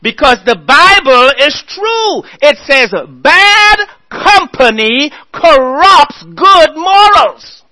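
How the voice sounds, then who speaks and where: A man preaching a sermon, earnestly exhorting his listeners and reading scripture aloud slowly. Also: extremely high pitch, animated, bright, forceful tone, low-quality recording.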